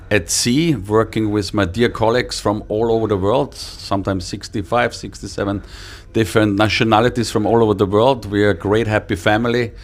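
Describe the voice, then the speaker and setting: A middle-aged or older man from Austria, a native German speaker with an Austrian accent, answering interview questions as an executive. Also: fairly low pitch. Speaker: interview guest, cruise line executive.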